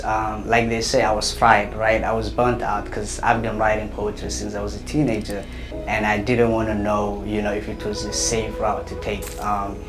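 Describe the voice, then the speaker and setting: A young man, talking hesitantly with many fillers in an interview. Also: lowish voice. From Somalia. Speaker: interviewee, writer and actor. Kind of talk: casual interview. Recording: roomy with loud music behind.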